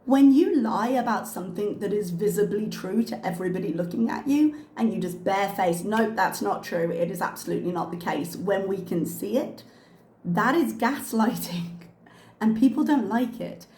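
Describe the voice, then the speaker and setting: Chatty commentary host in an echoey room. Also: female voice, mid-range voice, animated, normal speed, a little mellow. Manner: chatty, frank, with frequent ums and uhs. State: slightly losing her voice from a cough. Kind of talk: casual reaction commentary. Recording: echoey room with faint ambience.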